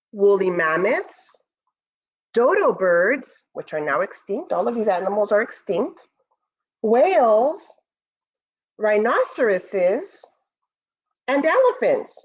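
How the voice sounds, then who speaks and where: A woman's voice: on the high side, highs cut off. An American woman, a school educator, reading a picture book aloud to children expressively and speaking to them directly. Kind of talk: children's read-aloud with asides to pupils.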